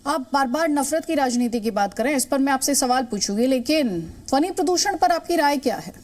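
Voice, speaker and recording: A woman's voice: high-pitched, animated, fairly quick, a little mellow. An Indian woman anchoring a confrontational TV news interview, assertive and pressing, repeating her questions to the guest.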